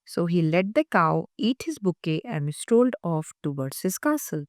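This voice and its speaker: Very animated woman storyteller. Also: lowish voice, moderate pace, dry recording, studio quality. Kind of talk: children's picture book read-aloud, scripted narration.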